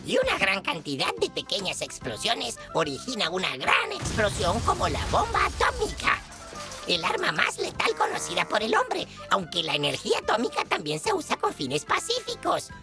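A woman voicing a female fixie character explaining science to children with lively emphasis. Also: mid-range voice, moderate pace. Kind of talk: educational cartoon explainer. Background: children's music.

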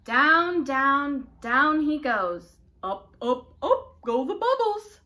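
A young woman reading a picture book aloud to small children in an expressive, dramatic way. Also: high-pitched, slow pace. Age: young adult. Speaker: children's story time reader. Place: living room indoors, by a brick fireplace.

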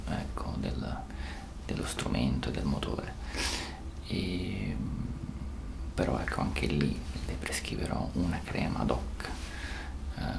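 Very deep, gravelly whispering man. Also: noisy background. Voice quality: breathy, whispery. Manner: slow, hesitant, reassuring. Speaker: ASMR roleplayer playing a doctor. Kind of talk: soft-spoken ASMR roleplay.

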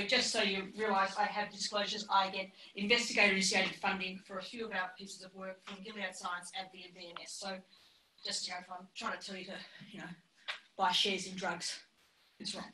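A middle-aged woman gives a lecture with a bright, sharp voice and an offhand, self-deprecating manner. She often wanders away from the lectern mic, so she sounds distant in a roomy, echoing hall. A faint hiss sits under the recording. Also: mid-range voice, steady tone, a little fast. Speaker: public health physician giving a lecture. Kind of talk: informal conference lecture. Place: lecture hall with projected slides. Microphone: lectern microphone, often far from it. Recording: echoey hall, speaker off-mic, faint noise.

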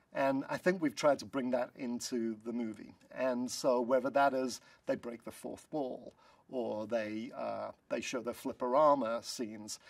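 A middle-aged man, a film producer, chatting in a press interview in a studio, relaxed and full of ums and uhs. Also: mid-range voice, creaky, no room sound, far from the mic. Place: studio in front of a blue backdrop.

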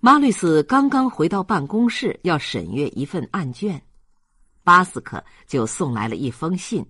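A man reading a novel aloud as an audiobook narrator, in an expressive literary storytelling style. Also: fairly high pitch, moderate pace, husky, no room sound, clean studio sound.

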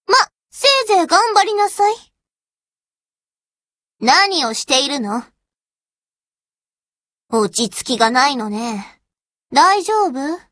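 A woman's voice: high voice, fairly bright tone, no room sound, studio quality, full character voice. A woman voicing a haughty, prickly young warship girl in an anime game, curt and scolding toward her commander. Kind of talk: Japanese anime game character lines.

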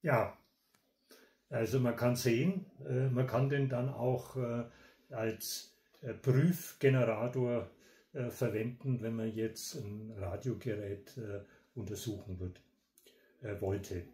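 An older man presents a technical demonstration at a slow pace. He is deliberate and halting, often pausing to find the next word. The room around his voice rings with echo, as if the microphone sits some way off. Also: mid-range voice, steady tone, warm tone. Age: 60s or older. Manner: halting, deliberate, with frequent hesitations. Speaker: presenter of a technical radio channel. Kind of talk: explanatory product demonstration. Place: small indoor room. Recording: echoey room, distant microphone.

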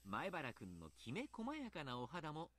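An actor voicing a mild, polite, teasing doctor in a Japanese visual novel, speaking in a courteous, measured manner. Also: male voice, fairly high pitch, wildly swooping pitch, a bit bright, exaggerated voice. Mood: playfully creepy. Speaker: doctor and baseball coach character.

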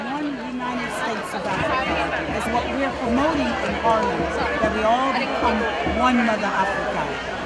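A woman's voice: on the high side, heavy background noise. An older woman speaking emphatically as a community ambassador in an impassioned interview outdoors. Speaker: community mayor and goodwill ambassador. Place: outdoor park at a crowded festival. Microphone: handheld interview microphone. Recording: loud music and crowd behind.

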